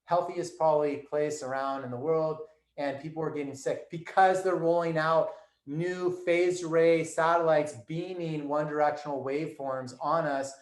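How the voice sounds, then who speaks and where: A man's voice: fairly high pitch, steady tone, normal speed. A man with a slightly raised voice speaks as an interview guest, emphatic and instructive. His voice comes over a remote call from an echoey room. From United States. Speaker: guest, performance coach. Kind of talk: conversational interview.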